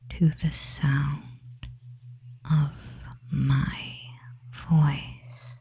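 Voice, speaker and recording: A performer voicing a devious, dominant femboy witch in a breathy ASMR whisper, speaking hypnotically with long pauses. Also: mid-range voice, mellow, slight background noise. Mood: commanding, hushed.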